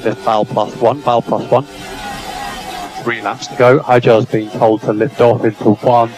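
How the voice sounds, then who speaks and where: A male race engineer speaks over team radio in short, clipped instructions, often repeating them. His voice is mid-pitched, and music plays clearly behind the transmission along with vehicle noise. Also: little pitch movement, normal speed, a little mellow, rough recording. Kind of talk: terse radio instructions to a driver. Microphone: radio headset.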